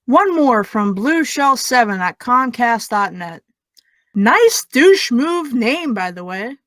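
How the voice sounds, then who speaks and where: A woman's voice: fairly high pitch, big pitch swings, slow pace, a bit bright, no room sound, clean studio sound, heavily acted. An actress playing a snarky teenage girl who co-hosts a podcast and reads the mailbag with teasing sarcasm. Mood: sarcastic. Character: snarky teen girl podcaster reading mail. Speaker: podcast co-host.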